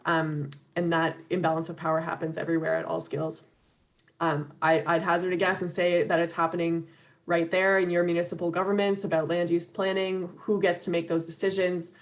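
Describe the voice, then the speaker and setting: A woman with a slightly low voice gives an earnest, loosely organized talk over a video call. She fills her pauses with ums and restarts, and a light laugh slips in between points. Her voice sounds a little worn, as if she is starting to lose it. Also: flat delivery. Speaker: webinar speaker for an advocacy organization. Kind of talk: conversational talk.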